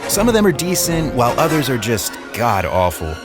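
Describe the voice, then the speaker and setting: A man's voice: mid-range voice, big pitch swings, moderate pace, loud music behind the voice. A man hosting a video essay series, reading scripted narration in an informal, lightly wry manner.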